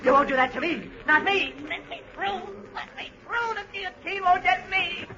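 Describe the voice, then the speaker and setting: A man's voice: very high voice, animated, normal speed, a crowd in the background. An actor playing a terrified man fleeing death in a 1940s radio drama, babbling frantically. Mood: frantic. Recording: muffled 1940s radio broadcast, hiss.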